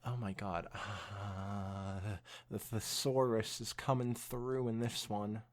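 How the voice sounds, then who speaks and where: A young man hosting a podcast, reading fan fiction aloud in an animated way mixed with casual chat. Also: on the low side, takes it slowly.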